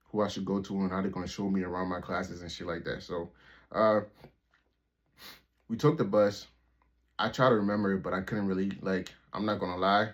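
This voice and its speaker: Young man from Liberia, very low voice, casual profane storyteller, dull lo-fi recording. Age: 20s. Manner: rambling, loose and profane, full of "like" and restarts. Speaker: YouTuber telling a personal story.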